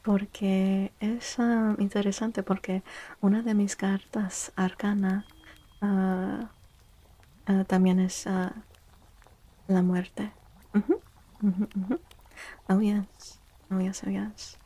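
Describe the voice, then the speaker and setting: A woman livestream host speaking softly in an ASMR style, hushed and gentle, often slipping into a near-whisper close to the microphone. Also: medium pitch, flat delivery, slow pace, pauses often. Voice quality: soft, breathy. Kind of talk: soft-spoken ASMR livestream chat.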